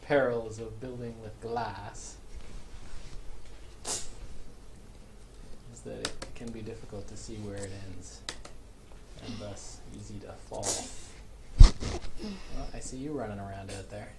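A middle-aged man, a father playing a video game with his daughter, talking calmly and dryly and explaining things patiently. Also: fairly low pitch, slow, pauses often. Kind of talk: casual gameplay chat. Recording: clear background noise.

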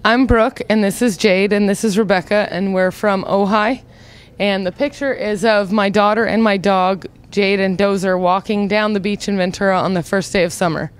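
Woman, 30s, American, crisp medium-pitched voice, informal interviewee. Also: steady tone, moderate pace. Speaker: fair participant. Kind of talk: unscripted interview talk. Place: indoor exhibit hall. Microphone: handheld microphone.

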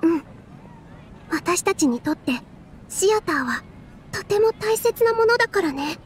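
高く優しい若い踊り子. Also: female voice, extremely high pitch, lively intonation, a little slow, a little hiss or hum. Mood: earnest, troubled. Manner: soft, patient, sincere. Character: gentle, earnest young female dancer, encouraging friend.

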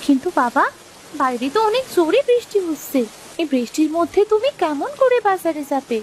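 A woman's voice: extremely high pitch, very animated, a little fast, a little mellow, a little hiss or hum, rough recording. A woman voicing an earnest, hopeful young village daughter in a Bengali cartoon story, pleading in a childlike way. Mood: worried.

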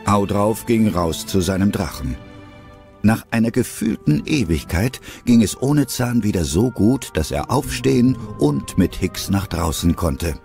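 A male narrator reads a children's audio story in a fairly low voice. Music plays clearly behind him. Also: lively intonation, measured pace, mellow.

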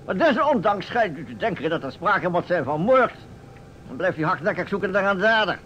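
An actor playing a pompous, blustering older small-town mayor, easily indignant, in a Dutch radio drama. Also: male voice, high voice, big pitch swings, a little fast, a bit bright. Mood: self-important. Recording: muffled vintage radio recording with noise.

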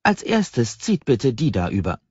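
Man voicing a cheerful, husky library doorkeeper, lifted pitch. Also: wildly swooping pitch, measured pace, a little mellow, full character voice. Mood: cheerful, helpful. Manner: friendly, amused, eager to explain. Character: cheerful, welcoming male doorkeeper of a secret library. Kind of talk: audiobook character voice. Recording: slightly dull, roomy audiobook recording.

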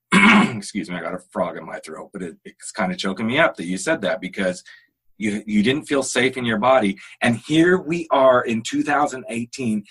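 A man's voice: medium pitch, expressive, moderate pace, a bit bright, husky, poor audio. A man in his fifties hosting a podcast, chatty and encouraging with his guest. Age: 50s. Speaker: podcast host. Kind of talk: casual interview chat.

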